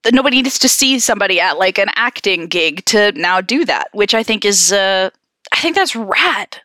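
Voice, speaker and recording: Animated, bright, high-voiced woman on a podcast. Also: moderate pace, no room sound, clean studio sound. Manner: expressive, emphatic, slangy. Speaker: podcast co-host. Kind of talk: casual podcast chat.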